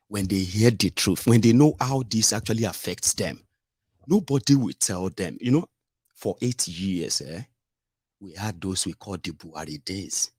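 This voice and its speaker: Combative Nigerian man, husky voice. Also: low-pitched, a bit bright, no room sound. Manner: combative and mocking, rhetorical asides. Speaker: political commentator. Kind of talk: opinionated solo commentary.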